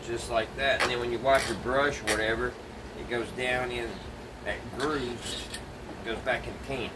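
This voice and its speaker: A man's voice: mid-range voice, moderate pace. A plainspoken, folksy man thinking aloud as he casually narrates hands-on work to viewers. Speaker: DIY vlogger. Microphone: phone camera set away from speaker. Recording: distant mic, noisy with steady background hum.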